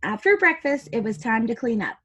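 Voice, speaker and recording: A woman reading a picture book aloud to young children as their teacher, with chatty asides and expressive dialogue. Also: on the high side, brisk, slight background noise.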